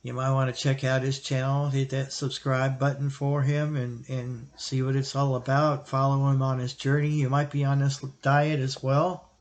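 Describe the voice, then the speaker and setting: A man hosting a hobby video, explaining gear in a casual, plain-spoken, unscripted way with halting pauses. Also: medium pitch, little pitch movement. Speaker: radio hobbyist host answering viewer question. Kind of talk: casual explanatory product talk.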